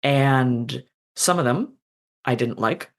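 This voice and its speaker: Podcast guest, female, very low voice; chatty, self-deprecating author. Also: takes it slowly. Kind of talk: casual podcast interview.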